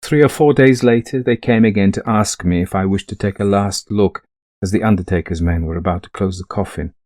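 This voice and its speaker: An actor playing a middle-aged, greying widower in a ghost story, confiding an eerie haunting to a friend in genteel, old-fashioned phrasing. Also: male voice, on the low side, fairly quick, a little mellow, dry recording, studio quality, lightly acted. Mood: haunted, grave. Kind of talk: dramatised first-person ghost story narration.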